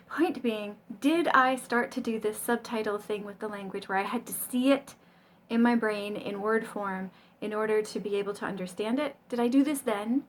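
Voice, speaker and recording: A woman's voice: medium pitch, a bit bright, a little hiss or hum. A middle-aged American woman chatting casually to camera about learning Chinese, rambling and self-deprecating, with frequent ums and little laughs. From United States.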